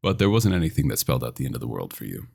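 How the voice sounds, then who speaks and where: A man playing a dominant, possessive vampire doctor in an ASMR roleplay, intimate and teasing with a smooth menace. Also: very animated, racing, a little mellow, exaggerated voice. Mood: polite, coy. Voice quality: very deep, gravelly.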